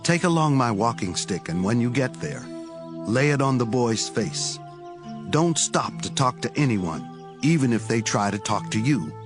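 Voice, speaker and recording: A man playing an authoritative, caring Hebrew prophet in a dramatized scripture audiobook, with a measured, commanding way of speaking. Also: mid-range voice, expressive, over music, close to an everyday voice. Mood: urgent, commanding.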